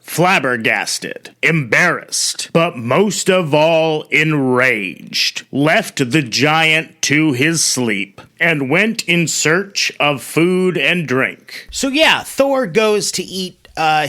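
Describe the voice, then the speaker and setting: A man's voice: on the high side, animated, slow, few pauses, sharp tone. A man hosting a story show, telling tales with dramatic phrasing and casual, conversational asides. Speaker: host and storyteller.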